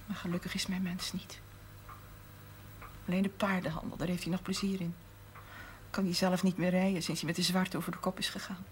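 An actress playing an earnest farmer's wife who now keeps a shop, confiding and at times near a whisper, in a 1970s TV drama. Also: female voice, lowish voice, little pitch movement, brisk, pauses often, a little hiss or hum, close to an everyday voice. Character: earnest farmer's wife turned shopkeeper, middle-aged woman. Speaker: shopkeeper's wife.

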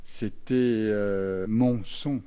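Mellow-voiced, reflective man. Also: lowish voice, fairly even intonation, clean tone. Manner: halting, reflective, searching for words. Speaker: composer giving a talk. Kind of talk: talk delivered in short segments with pauses for an interpreter.

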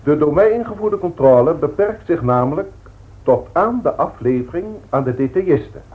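A man's voice: a little high, lively intonation, lightly acted. Man acting a pompous company director, thin radio sound. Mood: grave, stern. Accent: Dutch. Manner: pompous, measured, formal speechmaking. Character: formal, long-winded, authoritative male company director. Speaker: radio play actor. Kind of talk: 1960s radio drama acting, boardroom address. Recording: thin phone-like old radio recording.

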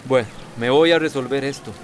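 An actor playing an earnest, supportive health worker, businesslike and respectful, in a radio soap opera. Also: male voice, mid-range voice, a little fast, very noisy, natural voice.

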